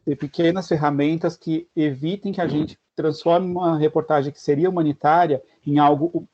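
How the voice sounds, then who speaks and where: A man's voice: a little high, steady tone, normal speed, warm tone. A young Brazilian man, a journalist and graduate student, asking an earnest question over a video call, searching for words with many small restarts. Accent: Brazilian Portuguese. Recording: dry, dull lo-fi video call audio.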